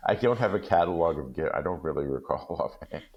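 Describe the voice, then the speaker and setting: A man, a guest reader on a radio discussion show, who talks haltingly with many restarts. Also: a bass voice, animated, husky, highs cut off.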